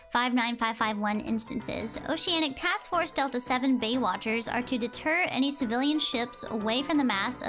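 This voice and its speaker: Young female streamer, high pitched, slightly hoarse, reads aloud with stumbles, light music underneath. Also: a bit bright. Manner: chatty, giggly, stumbles over words while reading. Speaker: VTuber streamer. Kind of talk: live stream, reading aloud.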